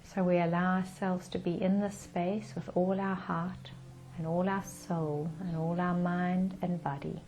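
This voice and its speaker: Slow, low, mellow woman guiding meditation. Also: a little hiss or hum. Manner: calm, soothing, with gentle pauses. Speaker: guided meditation leader. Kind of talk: guided meditation.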